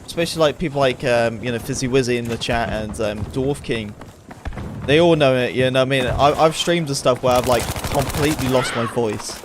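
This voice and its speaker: A man's voice: medium pitch, brisk, background noise. Rambling man over gunfire. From England. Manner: rambling, with many fillers and acted asides. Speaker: game streamer. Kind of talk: casual rambling chat while gaming live. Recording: game gunfire loud behind voice. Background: video game gunfire and vehicles.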